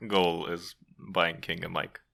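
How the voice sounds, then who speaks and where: A man in his twenties with a Serbian accent, co-hosting a casual podcast, halting and repeating words, with dry jokes. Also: low-pitched, little pitch movement, gravelly, fairly bright tone. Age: 20s. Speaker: podcast co-host. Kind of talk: casual chat.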